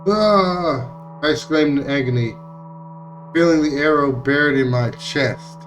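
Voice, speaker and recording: A man's voice: mid-range voice, fairly even intonation, moderate pace, slight background noise. Man narrating a story, distant mic. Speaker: streamer reading a visual novel aloud. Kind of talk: dramatic read-aloud narration of game text. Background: music.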